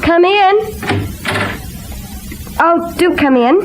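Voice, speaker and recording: A woman's voice: very high voice, extremely slow, music in the background, full character voice. A woman playing an anxious, polite young mother, earnest and easily flustered, in an old radio serial. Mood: concerned, polite. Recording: noisy vintage radio recording.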